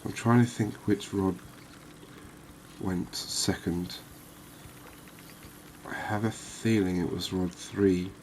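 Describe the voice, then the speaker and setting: Low, even-voiced man doing ASMR commentary. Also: a little hiss or hum, rough recording. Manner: calm, unhurried, musing aloud. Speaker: gaming video host. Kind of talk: soft-spoken ASMR gameplay commentary.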